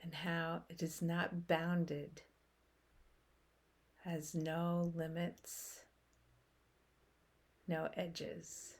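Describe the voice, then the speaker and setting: A woman leading a guided meditation in a soothing, unhurried way, with long pauses between short phrases. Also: lowish voice, little pitch movement.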